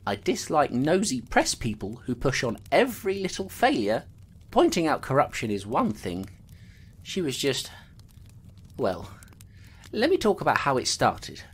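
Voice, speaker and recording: A woman playing a low-voiced, dogged constable. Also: huge pitch swings, breathy edge, heavily acted. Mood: earnest. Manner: earnest, brisk storytelling. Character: loyal, dogged female constable narrating her case. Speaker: narrator.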